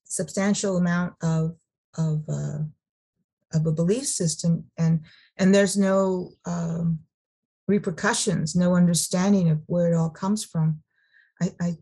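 A middle-aged woman from Sacramento giving a personal testimony, talking haltingly with many restarts and repeated words. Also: on the low side, dark tone, husky. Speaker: recovering alcoholic sharing her story.

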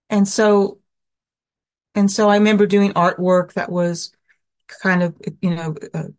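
A woman with a somewhat low voice tells a personal story slowly and thoughtfully. She often stops, repeats words and uses fillers as she searches for what to say. She grew up in the American Southwest. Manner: halting and reflective, many restarts and fillers. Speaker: speaker sharing her recovery story.

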